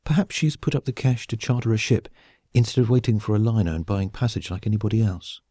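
A man's voice: on the low side, fast talker, warm tone, slightly rough, dry recording, studio quality. A man voicing a polite, slightly baffled spaceship captain in an audiobook, hesitant and understated. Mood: mild, musing. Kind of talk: audiobook character acting.

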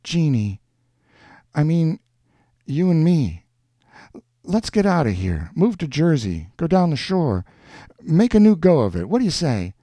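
A man's voice: fairly high pitch, big pitch swings, measured pace, pauses often, mellow, no echo, pitched above the performer's own voice. A man voicing a lonely, earnest working-class man, coaxing and slangy, in a story reading. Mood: hopeful, pleading.

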